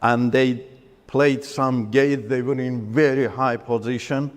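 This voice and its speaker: Slow, earnest man in a reverberant hall. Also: mid-range voice, steady tone. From Iran. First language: Turkish. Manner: earnest, halting, breaks up sentences with pauses and restarts. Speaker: testimony speaker. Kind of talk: personal faith testimony.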